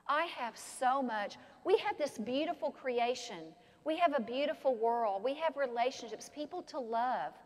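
An older woman pastor preaching an animated sermon from a pulpit in a large church sanctuary. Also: high-pitched. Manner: animated, expressive preaching with gestures. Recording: long church reverb.

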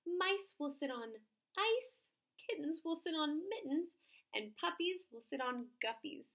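A woman voicing a boastful small male frog who speaks slowly, loudly and in a sing-song way. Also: animated. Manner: high, loud, slow and sing-song.

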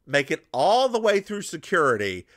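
A man's voice: a little high, moderate pace. A man co-hosting a podcast, chatting casually as he recaps a TV episode and acts out the characters' lines. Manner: animated, puts on voices for characters. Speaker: podcast co-host.

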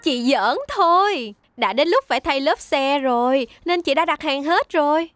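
A high-voiced, teasing young woman mechanic. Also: expressive, a little slow. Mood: playful. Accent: southern Vietnamese. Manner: teasing, big-sisterly. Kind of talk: children's cartoon dub. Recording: loud music behind.

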